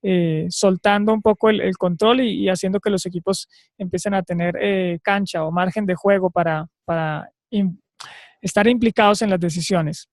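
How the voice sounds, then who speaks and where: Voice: mid-range voice, fairly even intonation, moderate pace, dry recording. Host on a webinar call, asks long wandering questions, dry close sound. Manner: rambling, repeated words while asking. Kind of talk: interview questions. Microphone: video call.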